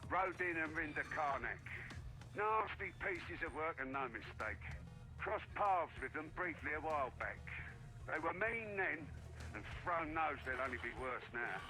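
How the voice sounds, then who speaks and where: A man's voice: on the high side, animated, measured pace, pushed and bright, low-quality recording. An actor playing a plain-spoken, world-weary military man in a video game, colloquial and matter-of-fact. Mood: wry satisfaction. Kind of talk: video game story narration in character. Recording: music behind.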